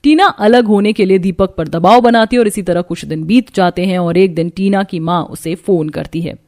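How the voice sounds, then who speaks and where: A woman's voice: lowish voice, normal speed, dark tone, no room sound, clean studio sound. A woman narrating a scripted story in a dramatic storytelling style.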